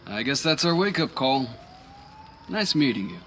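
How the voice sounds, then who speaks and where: A man's voice: medium pitch, big pitch swings, moderate pace, a bit bright, slight background noise. An actor playing an affable, honorable male street-racing boss in a video game, polite, composed and articulate. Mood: friendly. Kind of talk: video game cutscene acting.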